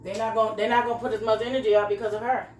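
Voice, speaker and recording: A woman's voice: a little high, level tone, racing, a little mellow. A woman in a casual, opinionated phone conversation, speaking in a matter-of-fact way and agreeing with the other person. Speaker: company interviewer who screens job candidates. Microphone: phone call. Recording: echoey phone call with background noise.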